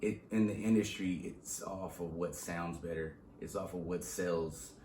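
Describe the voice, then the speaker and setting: A young man with a very low voice chats about where he comes from in a casual, slangy way. He speaks in an echoey room, with a faint background hum behind him. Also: measured pace. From Southern California. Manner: slangy, animated storytelling with laughs. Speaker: rapper guest. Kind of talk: casual interview chat.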